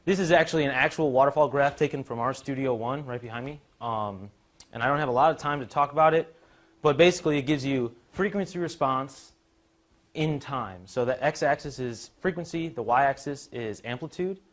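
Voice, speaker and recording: Young man, medium pitch, explanatory. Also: moderate pace. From Chicago suburbs, USA. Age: young adult. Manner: explanatory, conversational. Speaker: university student presenter, musician and drummer. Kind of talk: informal technical presentation.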